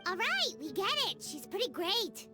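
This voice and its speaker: A tiny childlike fairy sidekick from a video game. The voice is squeaky and extremely high, with bouncy, animated pitch over prominent music. The delivery is blunt, chirpy and cheeky. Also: female voice, moderate pace. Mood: impatient. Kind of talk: video game character acting.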